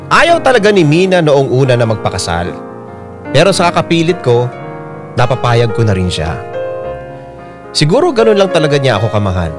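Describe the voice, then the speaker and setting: An earnest man in his twenties telling his own love story for a radio drama. His voice is mid-pitched and moves expressively as he confides in the listener. Piano music plays clearly behind him. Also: wildly swooping pitch, normal speed, long pauses. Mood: fond, reflective. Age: 20s. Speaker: narrator and letter sender.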